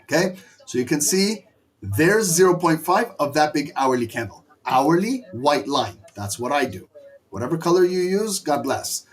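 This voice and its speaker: A hoarse middle-aged man teaching a live trading lesson in an emphatic, scolding way. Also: a little high, big pitch swings, normal speed. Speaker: trading instructor.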